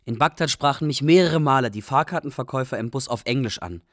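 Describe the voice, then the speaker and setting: A medium-pitched actor as a male refugee telling his life story for a radio drama. His delivery is wry and matter-of-fact, an everyday storytelling voice. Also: fast talker, fairly bright tone, no room sound, studio quality, lightly acted. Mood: wry, reflective. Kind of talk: scripted radio drama narration.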